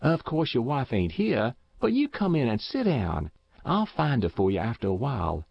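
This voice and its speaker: A man's voice: medium pitch, sing-song, moderate pace, vocal fry, husky, no echo, pitched above the performer's own voice, full character voice. A man voicing a stout, kindly old countrywoman who fusses over a guest, with a twangy rural way of talking. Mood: fussing. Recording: dull muffled dry recording.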